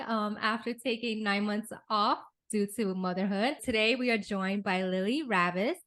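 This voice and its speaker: Woman, podcast host, upbeat, welcoming, mid-pitch voice. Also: a bit bright. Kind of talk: podcast interview.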